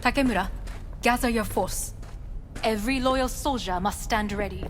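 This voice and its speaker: A woman playing a composed, imperious corporate heiress in a video game, speaking formally and with measured command. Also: a little high, slight background noise, lightly acted. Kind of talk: dramatic video game voice acting.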